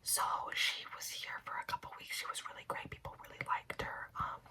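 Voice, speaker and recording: A woman's voice: brisk, sharp tone. A young woman in an ASMR roleplay, whispering as a chatty, gossipy nail technician.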